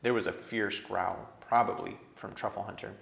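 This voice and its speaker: A man narrating a storybook aloud in an expressive, scripted read-aloud style. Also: lowish voice, normal speed, gravelly. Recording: echoey room.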